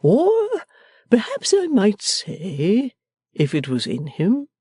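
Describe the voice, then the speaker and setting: A woman reading the part of a timid, fond little father who speaks gently and hesitantly, rambling at times. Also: medium pitch, wildly swooping pitch, takes it slowly, husky, no echo, studio quality, voice pushed up, full character voice. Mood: musing.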